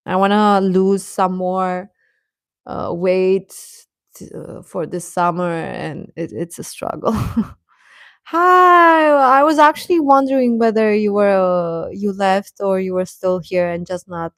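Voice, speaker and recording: A woman hosting a livestream, chatting casually and intimately with viewers in a halting, confiding way. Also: mid-range voice, animated, a bit bright, no room sound, studio quality.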